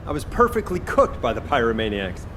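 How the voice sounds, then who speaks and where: Easygoing, lively young game chef. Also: male voice, medium pitch, brisk, slight background noise. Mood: teasing, easygoing. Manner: teasing, casual. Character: easygoing, joking young male chef and soldier. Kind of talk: video game voice acting.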